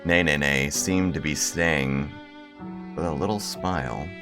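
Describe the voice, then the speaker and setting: A man reading the narration of a numb, morbid young man in a horror visual novel, solemn and measured. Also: very deep voice, over music. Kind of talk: scripted narration read aloud.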